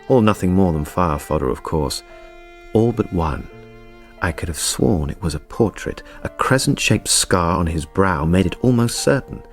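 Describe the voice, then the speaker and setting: An actor playing a haunted young war veteran who restores church murals, in a naturalistic radio drama, hesitant in talk and eloquent about art. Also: male voice, low voice, close to an everyday voice. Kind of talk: naturalistic radio drama, dialogue and reflective narration.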